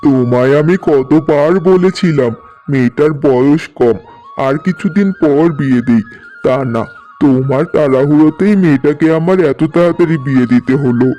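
A man's voice: fairly high pitch, normal speed, warm tone, dull audio, natural voice. A man voicing an earnest, protective middle-aged village father in a cartoon story. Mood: reproachful. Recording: music bed under the voice.

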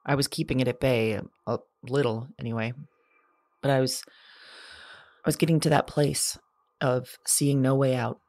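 A woman playing a lonely, haunted character who keeps an audio journal in a drama, with a halting, intimate, confessional delivery. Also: rumbling low, steady tone, no echo. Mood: weary, reflective. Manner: halting, hesitant, hushed reflective delivery.

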